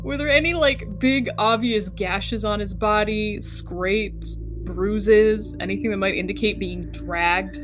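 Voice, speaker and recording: Lady playing a tabletop rpg, talks slow, wry and wordy, music playing behind her. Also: medium pitch. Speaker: tabletop game player. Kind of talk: casual in-character tabletop chat. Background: background music.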